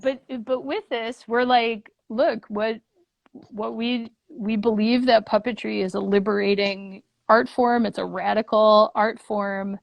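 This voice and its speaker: A woman's voice: a little high, steady tone, measured pace, creaky, no room sound, muffled recording. Earnest woman in conversation, dry close sound. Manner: earnest, thinking aloud in long unfinished phrases. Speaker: guest, puppet theater leader. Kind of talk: casual interview conversation.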